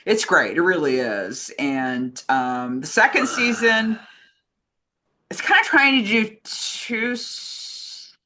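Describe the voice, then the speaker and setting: A woman's voice: fairly low pitch, expressive, takes it slowly, sharp tone. A woman co-hosting a casual, conversational chat about films and television. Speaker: co-host, communications director.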